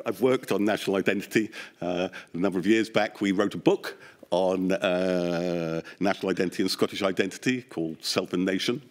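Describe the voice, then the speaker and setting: A man giving expert testimony at a public inquiry, explaining at length in an animated, discursive way with wry asides. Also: sits low. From England. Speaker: expert witness, academic psychologist. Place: public inquiry hearing room.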